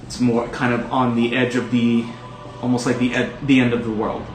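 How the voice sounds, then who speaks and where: A man playing a tabletop game, casually improvising a character description in a halting, rambling way. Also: medium pitch, little pitch movement. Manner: halting, rambling, filler-heavy. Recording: echoey room, music behind.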